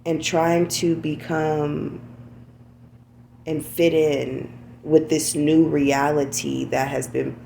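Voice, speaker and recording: Low, gravelly woman speaking candidly. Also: flat delivery, slow, mellow, a little hiss or hum. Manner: candid, repeats "you know what I'm saying". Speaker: podcast host. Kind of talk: reflective personal monologue.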